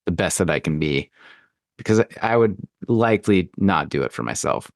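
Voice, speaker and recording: A man hosting a casual, reflective conversation, speaking thoughtfully with pauses in the middle of his phrases. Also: low voice, expressive, gravelly, no room sound, clean studio sound. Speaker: podcast host.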